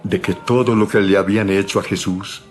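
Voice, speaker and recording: Male narrator, low voice, scripted Bible storytelling, soft background music. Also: steady tone, fairly quick.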